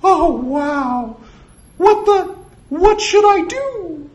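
A man voicing a gullible, excitable, obedient drone who speaks archaic English in a high, squeaky voice for a story. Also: drawn-out words, exaggerated voice. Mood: frightened, submissive. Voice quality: falsetto, squeaky. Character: old slow-witted fanatical drone manager turned squeaky falsetto Mickey god.

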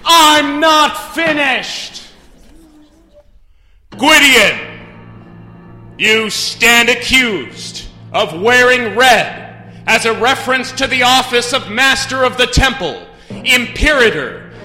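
A man's voice: expressive, takes it slowly, pushed and bright, heavily acted. A man playing a pompous, thundering accuser and self-proclaimed elder in a theatrical mock trial. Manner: booming, pompous, declamatory. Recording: echoey hall with music behind.

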